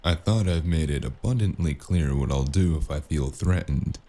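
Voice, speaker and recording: A male actor playing a cynical, wisecracking investigator in a noir audio drama, with a gravelly voice and a dry, menacing delivery. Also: extremely low pitch, moderate pace, dark tone. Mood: dry menace. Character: cynical, wisecracking hardboiled spacer investigator with magic. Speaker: voice actor playing the lead and narrator.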